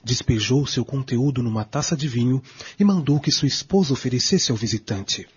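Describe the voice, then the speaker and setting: A man's voice: medium pitch, expressive, moderate pace, breathy edge. A man reading scripted dramatic narration for a radio drama. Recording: dull recording, faint music behind.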